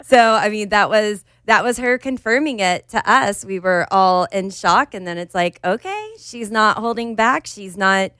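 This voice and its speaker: Smooth, dry-voiced woman podcast co-host. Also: mid-range voice, unhurried, hardly any pauses, no echo. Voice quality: slightly raspy. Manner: animated, bursts into cheery put-on voices. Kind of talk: casual chat.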